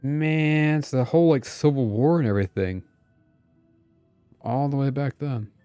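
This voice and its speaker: A man's voice: medium pitch, measured pace, dark tone, clear, resonant tone. A man giving casual, musing live commentary as he streams a game. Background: game music.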